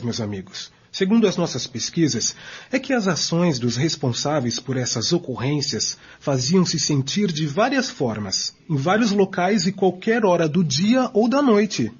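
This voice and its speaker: A man acting as an emphatic Brazilian commentator in a radio drama about spiritist history. His voice is strongly raspy, with big swings of intonation. The sound is somewhat dull. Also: a little high, big pitch swings, normal speed, full character voice. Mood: earnest, explanatory. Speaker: radio drama narrator and discussant. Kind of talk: scripted radio drama narration in dialogue form. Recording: dull, lo-fi audio.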